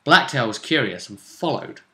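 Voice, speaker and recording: A man with a bright, crisp-edged voice recaps a tabletop roleplaying adventure. He talks casually and a little haltingly, as a game master would. The room around him rings with noticeable echo. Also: mid-range voice, animated. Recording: echoey room.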